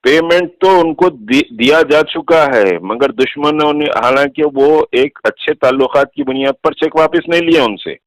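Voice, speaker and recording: A man's voice: on the high side, moderate pace, hardly any pauses, no room sound. A man with a Hyderabadi accent on a recorded phone call, defending himself insistently and repeating phrases for emphasis. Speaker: political activist being questioned. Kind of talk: defensive explanation in a phone conversation. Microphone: telephone.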